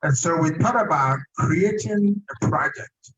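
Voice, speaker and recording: A man from Ghana with a Ghanaian accent, giving a measured public presentation as a project chairman. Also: on the high side, fairly bright tone, highs cut off.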